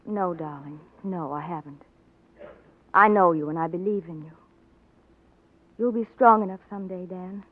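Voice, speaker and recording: An actress playing a genteel, earnest wife and young mother in a 1940s radio drama, speaking politely and gently. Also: on the low side, normal speed, pauses often, dry recording, close to an everyday voice. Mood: tender, earnest. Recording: tinny narrow old radio recording.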